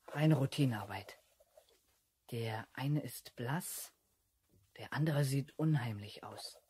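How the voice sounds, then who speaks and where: A man's voice: a little high, a little hiss or hum. An actor in a 1970s German children's radio play playing an eager, watchful boy detective, earnest and secretive.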